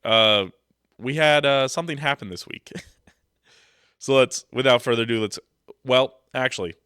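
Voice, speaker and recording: A man's voice: mid-range voice, moderate pace, bright, forceful tone, smooth, no room sound, studio quality. A young man with a stuffed-up nose from a cold, hosting a casual sports podcast and rambling with jokes into his microphone. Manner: rambling, joking, loose. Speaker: podcast host.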